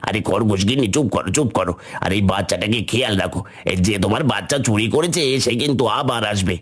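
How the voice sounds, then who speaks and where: A man voicing a clever, helpful but bossy monkey in a cartoon fable, husky and chiding as he gives instructions. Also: on the low side, expressive, quick pace. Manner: chiding, know-it-all, instructive. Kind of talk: animated children's fable dialogue. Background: music.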